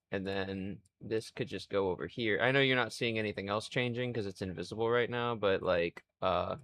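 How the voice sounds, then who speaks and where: A man in his twenties streaming live, rambling in a crude, self-mocking way and thinking out loud. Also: fairly low pitch, no room sound. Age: 20s. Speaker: livestreamer. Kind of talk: casual livestream chat.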